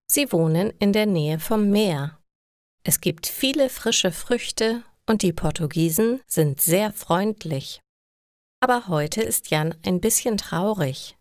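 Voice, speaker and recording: A woman narrating simple scripted stories for language learners, speaking deliberately and enunciating carefully. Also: mid-range voice, lively intonation, darkish tone, no echo, clean studio sound. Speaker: storyteller for German learners.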